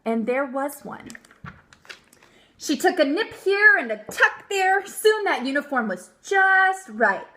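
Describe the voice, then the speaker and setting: A woman giving an expressive, emphatic read-aloud of a children's storybook. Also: high-pitched, takes it slowly. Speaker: storybook reader.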